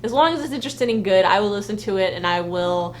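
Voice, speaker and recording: A woman co-hosting a casual live fan vlog, animated and joking, repeating phrases for emphasis. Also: mid-range voice, fast. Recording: noisy home livestream audio.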